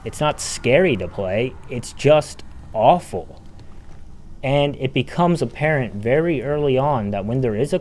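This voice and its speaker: A man's voice: medium pitch, a little mellow, a little hiss or hum. A man delivering emphatic, opinionated video essay commentary as a game critic.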